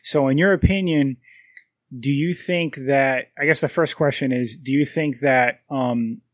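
A man in his mid-thirties with a medium-pitched voice, recorded with hardly any room sound. He co-hosts a podcast and asks his questions in casual, chatty speech. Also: a little slow, dry recording. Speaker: podcast co-host. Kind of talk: casual interview chat.

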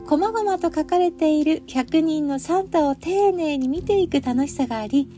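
A woman's voice: high voice, normal speed, warm tone, soft background music. A middle-aged woman hosting a podcast alone, chatting politely and speaking directly to her listeners. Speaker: podcast host introducing picture books. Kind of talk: chatty solo podcast talk.

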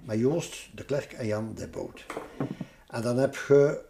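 A man's voice: medium pitch, lively intonation, takes it slowly, gravelly, mellow, a little hiss or hum. Older Flemish man reminiscing informally. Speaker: interview guest recounting his past.

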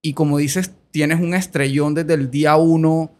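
A man's voice: fairly high pitch, fairly even intonation, moderate pace, a little mellow. A man born in Colombia hosting a casual podcast, asking long, rambling questions and correcting himself as he goes. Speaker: podcast host.

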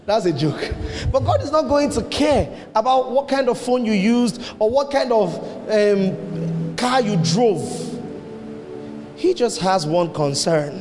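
A man preaching a sermon as pastor, emphatic, his voice jumping up into high exclamations. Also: lively intonation, measured pace, darkish tone, faint music behind. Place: church hall. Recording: long hall reverb, faint noise.

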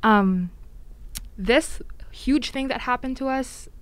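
A woman's voice: high-pitched, takes it slowly, smooth, dry recording. A woman co-hosting a faith podcast, speaking earnestly and guiding the conversation. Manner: earnest, affirming, steers the talk. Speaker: podcast co-host. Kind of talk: casual faith podcast chat.

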